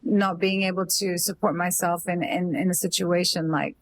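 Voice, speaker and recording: A woman's voice: on the low side, little pitch movement, moderate pace. A woman from Australia, a singer-songwriter and entrepreneur, talks as an interview guest in a thoughtful, rambling way full of fillers. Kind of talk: casual interview chat.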